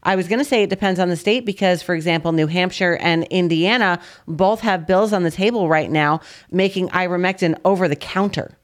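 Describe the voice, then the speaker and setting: Woman podcast co-host, insistent, argumentative, lowish voice. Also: a little fast, hardly any pauses. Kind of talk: opinionated political talk.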